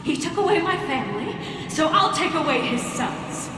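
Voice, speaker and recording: An actress playing a vengeful masked female villain who taunts her enemy in calm, menacing tones, in a video game cutscene. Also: high voice, moderate pace. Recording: far-off echoey hall with music.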